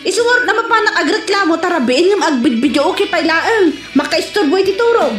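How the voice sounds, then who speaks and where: Brief: high-voiced Ilocano woman, lively comic sketch delivery, echoey room with music. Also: brisk. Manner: animated, exclaiming and laughing. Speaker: comedic actor. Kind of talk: Ilocano comedy sketch acting.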